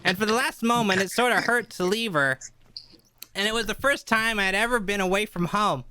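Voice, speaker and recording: A woman playing an earnest young cabin boy who tells his adventure in a live radio play, reading a little haltingly. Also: mid-range voice, bright, forceful tone, clear, resonant tone, no echo, lightly acted.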